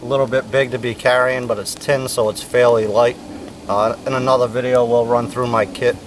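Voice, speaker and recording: A man's voice: medium pitch, flat delivery, brisk. Easygoing guy at a campfire showing his cooking gear, kinda far from the mic, faint outdoor noise behind. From United States. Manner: laid-back, rambling, many fillers. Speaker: bushcraft instructor. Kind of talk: casual outdoor cooking demonstration.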